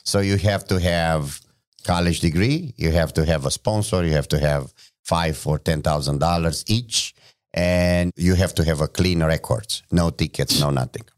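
A middle-aged man with a Romanian accent and a very low, deep voice. He speaks as a podcast guest in a relaxed chat, in halting English. His phrases come out short and listed, with frequent restarts. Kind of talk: casual podcast conversation.

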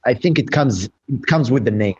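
A man who owns a restaurant answers interview questions, halting with many fillers and restarts. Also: mid-range voice, lively intonation, darkish tone, husky. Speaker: restaurant owner. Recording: dull lo-fi sound.

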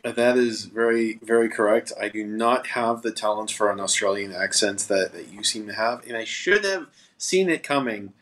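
A man co-hosting a podcast interview, speaking in a measured way with uh fillers. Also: lowish voice, a bit bright. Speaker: podcast co-host. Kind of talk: casual interview talk.

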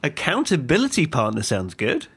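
A man hosting an interview, asking friendly follow-up questions with short "yeah"s and quick laughs. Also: on the high side, huge pitch swings, fairly bright tone, husky. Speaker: interviewer, host. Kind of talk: casual interview.

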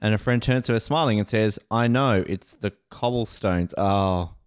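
A man's voice: lowish voice, background noise. A man co-hosting a podcast in casual banter, joking, laughing and reading jokes aloud. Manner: jokey, laughing, quick silly voices. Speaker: podcast co-host.

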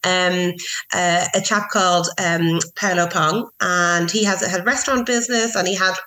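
A woman's voice: fairly low pitch, fairly even intonation, moderate pace, bright, forceful tone, low-quality recording. A woman in her thirties, chatting in an interview with many restarts and fillers. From Ireland. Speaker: interview guest, wine professional. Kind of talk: casual interview chat.

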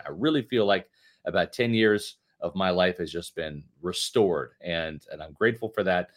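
A man in his fifties co-hosting a late-night sports podcast, joking and emphatic, reading an ad in his own words. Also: lowish voice. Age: 50s. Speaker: podcast co-host.

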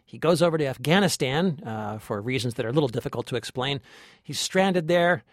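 A man's voice: mid-range voice, expressive, a little fast, dry recording, studio quality. A man co-hosting a movie podcast as a film critic, talking conversationally about films.

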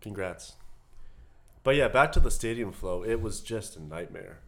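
A man's voice: fairly low pitch, lively intonation, moderate pace, a bit bright. A man speaks. He is a podcast co-host, trading casual remarks in an informal, conversational way. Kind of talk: casual banter.

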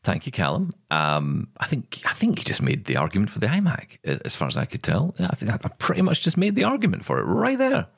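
Gravelly, lively co-host man. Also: lowish voice, fast talker, hardly any pauses, dry recording, studio quality. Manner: teasing banter. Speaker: podcast co-host. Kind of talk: casual chat.

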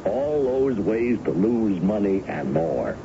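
A man's voice: on the low side, big pitch swings, slow pace, heavily acted. An actor playing a genial, indulgent father and company boss in his fifties, in a 1970s American radio drama. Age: 50s. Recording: dull, noisy 1970s radio broadcast.

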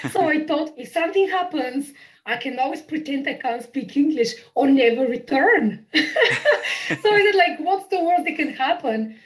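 A woman's voice: high voice, expressive, moderate pace, rough recording. Bubbly Bulgarian-accented woman in her thirties. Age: 30s. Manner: bubbly, laughs often, self-deprecating. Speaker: podcast guest. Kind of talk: casual interview chat.

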